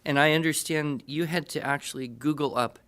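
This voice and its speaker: A middle-aged man hosting a podcast interview, speaking conversationally in deliberate, broken-up phrases. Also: fairly high pitch, a bit bright.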